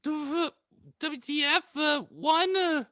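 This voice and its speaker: A man's voice: extremely high pitch, slow pace, bright, forceful tone, dry recording, clean studio sound. A man co-hosting a comedy podcast with casual, joking banter. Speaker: podcast co-host.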